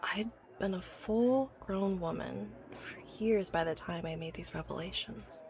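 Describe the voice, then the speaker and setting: A woman's voice: mid-range voice, measured pace, a little mellow, natural voice. A woman playing an obsessive, learned inventor who recounts her life's work earnestly and articulately in an audio drama. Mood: wistful, confiding. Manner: articulate, earnest, stammers when awed by her subject.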